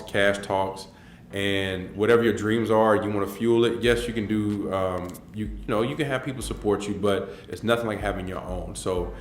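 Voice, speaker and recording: A man's voice: fairly low pitch, roomy sound, slight background noise. A man in his forties in a filmed interview, telling his life story in an earnest, rambling way with many restarts. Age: 40s.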